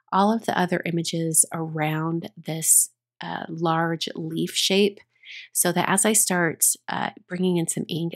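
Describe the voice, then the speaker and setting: A woman recording a relaxed, chatty voiceover for a card-making tutorial, her voice a little rough after being sick, with frequent ums and restarts. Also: on the low side. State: recovering from illness, voice a bit rough.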